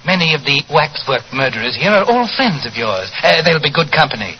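Suave, sinister English waxworks owner. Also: male voice, a little high, animated, brisk, dull audio, a little hiss or hum. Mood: politely ominous. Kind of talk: 1940s radio mystery acting. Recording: old radio recording with music behind.

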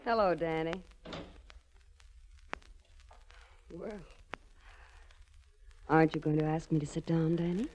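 An American actress playing a cool, seductive gangland boss in a 1940s radio crime drama, poised, teasing and quietly menacing. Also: female voice, sits low, moderate pace, a little hiss or hum, lightly acted. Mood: coolly teasing. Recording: muffled old 1940s radio recording.

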